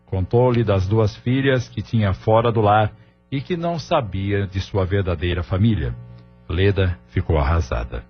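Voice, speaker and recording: A man's voice: lowish voice, animated, unhurried, breathy edge. A man with a Brazilian accent reading scripted narration for an audio drama. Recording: dull lo-fi audio with music bed.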